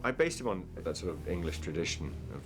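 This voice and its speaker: A man, an actor, describing his role in a documentary interview in a relaxed, understated way. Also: low-pitched, fast, music in the background.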